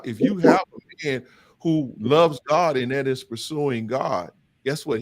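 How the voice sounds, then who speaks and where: A middle-aged man hosting a men's discussion, talking in an emphatic, preaching style that repeats and stresses its points. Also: mid-range voice. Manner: emphatic, repetitive, animated. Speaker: podcast host leading a men's discussion. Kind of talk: impassioned preaching-style talk.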